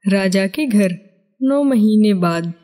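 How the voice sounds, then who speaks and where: A woman's voice: medium pitch, animated, slow, mellow, a little hiss or hum. A woman narrating a scripted moral story as a storybook narrator.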